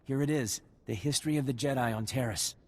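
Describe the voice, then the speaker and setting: An actor playing an earnest, deferential young Jedi explorer in a video game. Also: male voice, mid-range voice, fast, a little mellow, close to an everyday voice. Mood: grateful, earnest. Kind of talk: video game character acting.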